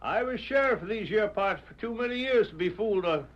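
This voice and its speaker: A folksy old Western deputy with a high voice and an American frontier accent. He talks plainly and emphatically, in old radio western style. The recording is muffled and vintage. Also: animated, fairly quick. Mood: folksy, talkative. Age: older. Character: folksy, plain-spoken old former sheriff turned deputy. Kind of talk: 1940s radio western acting.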